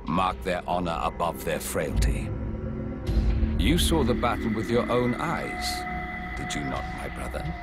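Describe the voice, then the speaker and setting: A man playing a grave middle-aged king in a fantasy game, speaking in formal, measured, archaic phrases. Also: sits low, very animated, over loud music. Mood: grave, solemn. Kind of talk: video game cutscene acting.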